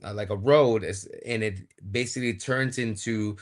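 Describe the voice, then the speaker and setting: A man's voice: fairly low pitch, moderate pace. A man, a wrestler, chatting as an interview guest and explaining things in a plain-spoken, casual way.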